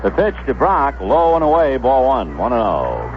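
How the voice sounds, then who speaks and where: A man doing live radio baseball play-by-play, describing the action in measured phrases with pauses between them. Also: mid-range voice, huge pitch swings. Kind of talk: live radio sports commentary. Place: stadium broadcast booth. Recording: muffled 1970s AM radio broadcast, background noise.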